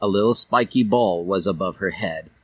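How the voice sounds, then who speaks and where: A man's voice: sits low, moderate pace, very noisy. A man narrating fan fiction as a comic dramatic reading, with a theatrical, mock-serious delivery.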